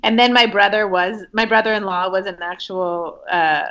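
A woman's voice: mid-range voice, fairly even intonation, moderate pace, pushed and bright, dull audio. A woman comedian telling stories in a casual interview, chatty and hesitant, with many ums and restarts. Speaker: comedian guest. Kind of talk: casual storytelling chat.

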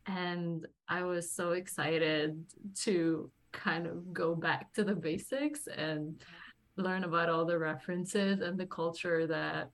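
A woman's voice: lowish voice, steady tone, slow pace. A woman in her thirties from Lebanon, chatting casually as an interview guest and often laughing as she talks. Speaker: guest, performing artist and acting teacher.

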